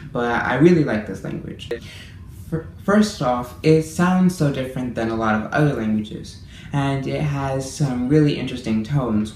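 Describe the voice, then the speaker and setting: A young man vlogging to the camera about languages he loves, in an earnest, eager way, slowing down to explain things. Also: medium pitch, darkish tone. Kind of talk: casual to-camera vlog. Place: bedroom, indoors. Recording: echoey room, faint room hiss.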